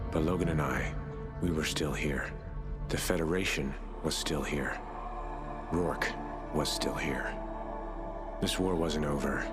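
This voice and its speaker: A male actor playing a grim, resolute soldier in a war game, speaking in a solemn, measured way. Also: extremely low pitch. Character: grim young soldier mourning his father, resolute. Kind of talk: cinematic war game narration and dialogue. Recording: loud music behind. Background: loud music.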